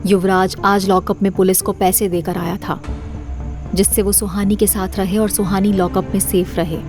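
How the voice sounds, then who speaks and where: A woman's voice: mid-range voice, level tone, moderate pace, over music. A woman narrator reading a scripted horror story for an audio drama series.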